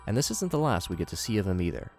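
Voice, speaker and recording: A man with a low voice narrates a scripted recap and adds his own commentary. He slips in wry, jokey asides as he goes, and music plays clearly behind him. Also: sing-song, very fast, a little mellow.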